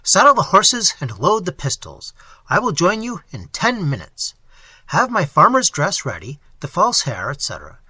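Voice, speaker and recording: A man voicing a bold gentleman highwayman captain who gives crisp, commanding orders. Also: wildly swooping pitch, moderate pace, dry recording, full character voice.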